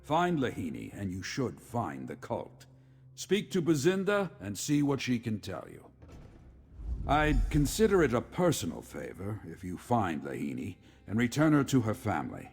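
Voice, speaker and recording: An actor playing a weary, dutiful middle-aged legion commander in a video game, speaking in a measured, formal, authoritative way. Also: male voice, on the low side, wildly swooping pitch, vocal fry, darkish tone, slightly rough, soft background music, exaggerated voice. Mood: stern, businesslike. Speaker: game character, military commander. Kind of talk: scripted video game dialogue.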